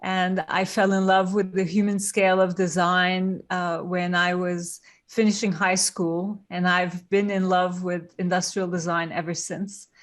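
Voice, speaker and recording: A woman's voice: lowish voice, little pitch movement, unhurried, few pauses. A woman who grew up in Turkey, a guest designer on a podcast, explaining her process thoughtfully and step by step. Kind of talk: podcast interview.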